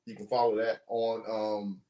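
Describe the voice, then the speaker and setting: A man's voice: fairly low pitch, flat delivery, a little slow, dark tone, roomy sound. A man co-hosting a casual relationship talk show, joking with crude banter and asking questions of the listeners. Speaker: podcast co-host.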